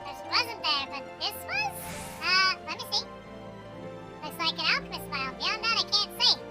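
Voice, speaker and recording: A woman puts on a puzzled fantasy game barmaid, pitched well up and very bright. She speaks quietly over faint music. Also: big pitch swings, moderate pace, full character voice.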